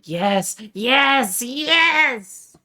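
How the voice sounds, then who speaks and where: A woman's voice: high voice, lively intonation, drawn-out words, bright, forceful tone. A woman chatting casually during a game livestream.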